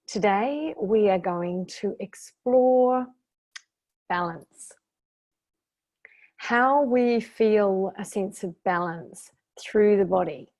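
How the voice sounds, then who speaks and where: A woman leads a guided meditation at a very unhurried pace. Her delivery is gentle and soothing, in short instructional phrases separated by long pauses. Her pitch sits in the middle of the female range. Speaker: guided meditation teacher and intuitive therapist.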